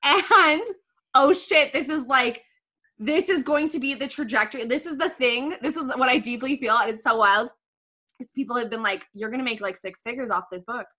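A woman's voice: high voice, normal speed. A woman in her twenties hosting a podcast, chatting casually, swearing freely and laughing often as she tells stories. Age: 20s. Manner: swears freely, laughs a lot, animated storyteller. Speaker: podcast host.

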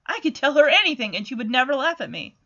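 A woman's voice: sits high, very animated, fast, bright, forceful tone, highs cut off, exaggerated voice. A woman voicing an earnest, bashful little cartoon boy who is sincere and a bit hesitant.

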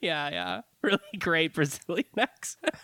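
A man's voice: a little high, dry recording, clean studio sound. A man hosting a baseball podcast, chatting casually and laughing often. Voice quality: laughs often, relaxed and unhurried. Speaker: podcast host.

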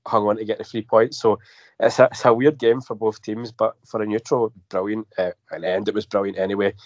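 Croaky Scottish man with a cold. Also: fairly low pitch, little pitch movement, a little fast, dry recording. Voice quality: a bit croaky. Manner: repeats words, fillers. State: has a cold. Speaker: podcast panelist. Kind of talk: casual football podcast chat. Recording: dry, dull lo-fi podcast audio.

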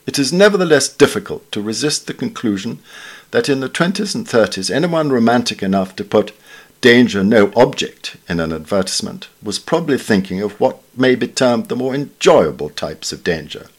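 A British man reading a scripted literary essay in a formal, measured, wryly understated way. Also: medium pitch, sing-song. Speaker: reader of newspaper essays.

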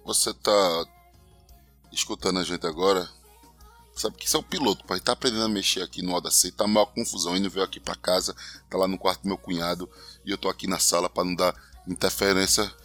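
A man's voice: low-pitched, fairly even intonation, quick pace, fairly bright tone, faint music behind. A young man with a Recife accent, co-hosting a casual podcast from his living room, chatty and often asking "entendeu?". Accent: Pernambuco (Recife). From Recife, northeast Brazil. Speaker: podcast co-host.

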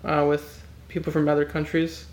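A woman's voice: deep voice, completely flat delivery, normal speed, a little mellow, roomy sound. A woman hosting a podcast, asking short, curious follow-up questions in a casual chat. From United States.

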